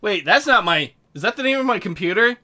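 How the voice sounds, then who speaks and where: A man's voice: high voice, lively intonation, a little fast, sharp tone. A middle-aged man giving crude, wisecracking, rambling commentary while playing a game. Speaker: let's play streamer. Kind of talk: joking game commentary and read-aloud.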